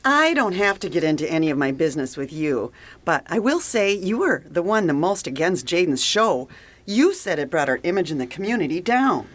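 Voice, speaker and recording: A blunt, low-voiced, combative colleague. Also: female voice, sing-song, a little fast. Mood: defensive. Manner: blunt, challenging. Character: blunt, combative female radio station colleague. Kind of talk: audio theater acting.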